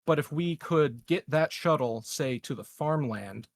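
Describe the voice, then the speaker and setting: A man in a casual tabletop roleplaying game, laying out plans with deliberate, measured phrasing. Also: on the high side, expressive, creaky, dry recording.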